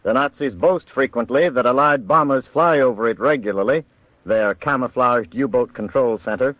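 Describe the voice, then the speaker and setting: A man narrating a wartime radio documentary drama in a measured, authoritative 1940s style. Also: medium pitch, no echo, highs cut off.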